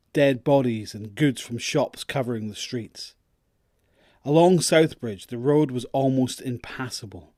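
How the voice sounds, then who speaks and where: A man's voice: mid-range voice, a little mellow, dry recording, clean studio sound. A man narrating a scripted audio drama as its storyteller.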